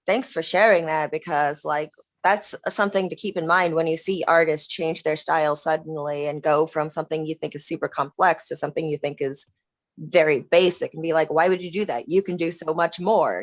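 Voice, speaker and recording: A woman in an online drawing group, chatting eagerly and halting often as she thinks aloud. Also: sits low, fairly even intonation, dry recording. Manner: enthusiastic, halting, many fillers and restarts. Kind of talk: casual chat on a video call.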